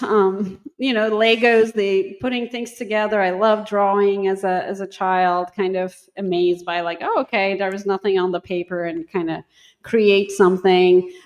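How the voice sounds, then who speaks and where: A middle-aged woman with a Turkish accent, a university dean talking casually in an interview, thoughtful and hesitant with many ums and restarts. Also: medium pitch. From Turkey.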